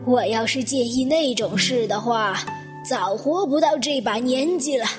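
A woman playing a polite, quietly insistent elderly widow in a Chinese audio drama, speaking with measured courtesy. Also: on the high side, close to an everyday voice. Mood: courteous, insistent. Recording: guitar music under the voice.

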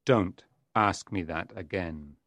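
A man's voice: fairly low pitch, expressive, slow pace, no echo. A man playing a stern, menacing and evasive devil servant in a dramatised audiobook. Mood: menacing refusal.

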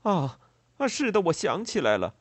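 A man's voice: high voice, huge pitch swings, normal speed, no echo, pitched above the performer's own voice, heavily acted. A man voicing a frantic, greedy, angry farmer in a storybook, stammering and blustering as he repeats his words. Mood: flustered, stammering.

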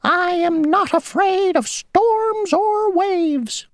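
A woman voicing a tiny, pompous male mosquito ferryman who talks slowly and insists on rules. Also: extremely high pitch, dry recording, studio quality, pitched above the performer's own voice, full character voice. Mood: self-important. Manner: slow, rule-bound, boastful.

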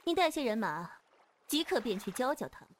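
A woman's voice: high-pitched, very animated, a little fast, a little hiss or hum. A woman playing a commanding, self-assured female martial sect leader in a Chinese wuxia audio drama. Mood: scornful, commanding. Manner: imperious, self-assured, clipped orders.

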